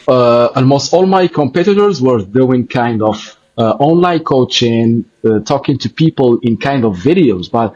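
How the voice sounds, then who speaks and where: Podcast host, male, Arabic accent, hesitant second-language English, breathy tone, muffled line. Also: medium pitch, darkish tone. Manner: hesitant, fillers, searching for English words. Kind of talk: casual interview chat. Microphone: video call.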